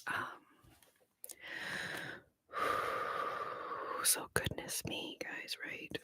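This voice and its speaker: A woman whispering softly and slowly to her viewers, tearful and emotional, with frequent pauses. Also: extremely high pitch, wildly swooping pitch, no echo. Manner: soft, slow, emotional, pauses often. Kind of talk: whispered ASMR chat to viewers.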